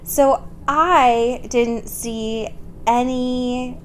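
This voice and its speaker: Giggly woman chatting, slightly high voice. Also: steady tone, very slow, a little hiss or hum. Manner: giggly, informal, swears casually. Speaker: podcast co-host. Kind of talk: casual chat and reading fan fiction aloud.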